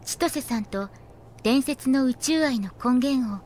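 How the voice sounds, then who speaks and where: Deadpan, high-voiced young woman. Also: a little slow. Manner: deadpan, clipped, matter-of-fact. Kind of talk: anime audio drama acting.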